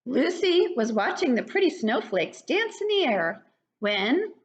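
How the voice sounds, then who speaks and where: An older woman reading a picture book aloud to young children in an animated, child-directed way. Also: high voice, a little slow. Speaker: children's story reader. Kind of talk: read-aloud picture book narration for preschoolers. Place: living room at home. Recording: dull, lo-fi webcam sound.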